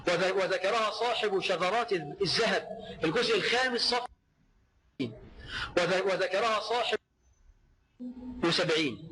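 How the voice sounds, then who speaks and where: A man preaching in a played clip. He cites his sources in an excited, rushed voice and repeats his phrases. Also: sits high, slight background noise, rough recording. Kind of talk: rapid preaching, citing sources.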